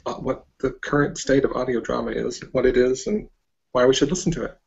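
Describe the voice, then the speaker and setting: Middle-aged male interviewer, raspy and conversational, heard through dull video-call audio. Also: medium pitch, steady tone, fairly quick, a little mellow. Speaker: interviewer, host. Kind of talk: casual interview talk. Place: indoor room, video call.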